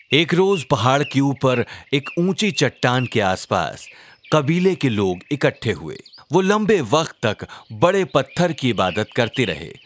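Male narrator for children's religious stories: deliberate, animated delivery, faint noise in the background. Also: medium pitch. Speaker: storyteller narrator.

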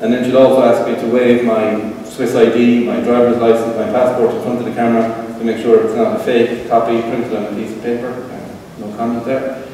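A man's voice: mid-range voice, fairly quick, hardly any pauses, slight background noise. Irish man presenting in an echoey hall. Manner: relaxed, conversational, frequent fillers. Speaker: tech presenter. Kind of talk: conference talk with live demo. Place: event hall with seated audience. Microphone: room pickup from far camera. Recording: roomy hall echo from far off.

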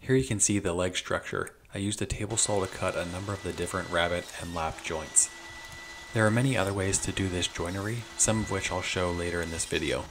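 A man's voice: sits low, a little fast, background noise. A young man narrating his woodworking project in a plain, matter-of-fact voice-over, step by step. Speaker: DIY woodworking channel host.

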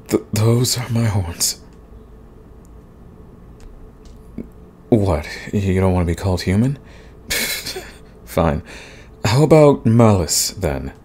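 A man playing a big, grumpy but soft himbo demon in a romantic comedy audio roleplay, husky and stammering in protest. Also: sits low, slight background noise. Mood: flustered, softening. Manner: grumbling, sighing, stammering protests. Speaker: voice actor.

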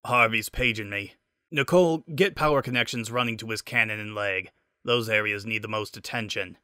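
A man voicing a gruff, fatherly old male hedgehog mechanic in a story reading, stern and scolding. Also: lowish voice, moderate pace, pushed and bright, dry recording, studio quality. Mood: brisk, fatherly.

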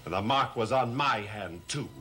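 An actor playing an intense, accusing heir of a cursed family in a theatrical gothic television soap. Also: male voice, fairly low pitch, normal speed, a bit bright, a little hiss or hum, close to an everyday voice. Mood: grave, triumphant. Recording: old TV soundtrack, dramatic music underneath.

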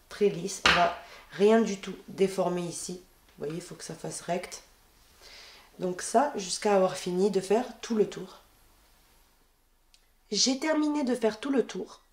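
A woman with a head cold gives a calm step-by-step crochet lesson, counting her stitches aloud as she works at a table. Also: medium pitch. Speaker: crochet tutorial host. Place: indoors at a wooden table.

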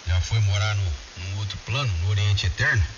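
A man's voice: fairly low pitch, normal speed. Brazilian man chatting over noisy ham radio. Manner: colloquial, addresses friends by name. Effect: shortwave ham radio transmission. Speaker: amateur radio operator in a group contact. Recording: muffled, hissy, very noisy shortwave radio.

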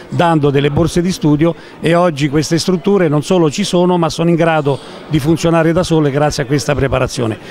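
Earnest older Italian man, slow and modest. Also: fairly high pitch. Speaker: charity event president. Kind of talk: interview at an event. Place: large indoor lobby of a concert venue. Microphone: handheld interviewer mic.

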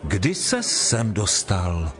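A man's voice: low voice, huge pitch swings, fairly bright tone, heavily acted. An actor playing an earnest man from a crowd of followers in a dramatized scripture reading.

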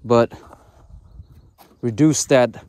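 A man with a Californian accent filming himself from a small boat on a river, commenting in short excited bursts as he lands fish. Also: mid-range voice, drawn-out words, dry recording. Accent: Californian English. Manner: excited, short exclamations to camera. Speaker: fishing vlogger. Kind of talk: casual commentary while fishing. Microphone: head-mounted action camera.

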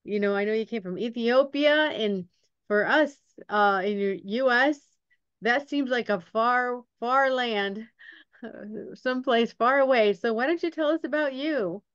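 A woman's voice: fairly high pitch, a little slow, fairly bright tone, clear, resonant tone, dry recording, lo-fi sound. A middle-aged woman hosting a podcast interview over a video call, upbeat and reading her guest's bio aloud. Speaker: podcast host.

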